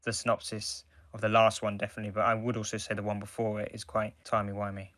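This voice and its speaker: A man with a slightly low voice talks as a podcast co-host. His delivery is casual and conversational, and the recording is very dry, free of room sound. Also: completely flat delivery, fast talker, a bit bright, dry recording. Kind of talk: casual chat about audio dramas.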